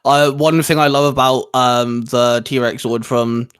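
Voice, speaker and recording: A young man with a mid-pitched voice hosts a fan channel. He talks casually and enthusiastically, like someone sharing a favourite hobby. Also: fairly even intonation, unhurried, a bit bright, clean tone. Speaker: channel host. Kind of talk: casual chat and video introduction.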